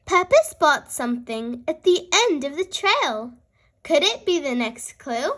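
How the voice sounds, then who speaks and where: A very high-pitched, young-sounding storyteller reads a picture book for small children. The delivery is slow and highly animated, with cheerful expression aimed straight at the listener. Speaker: host and reader of a children's read-aloud show.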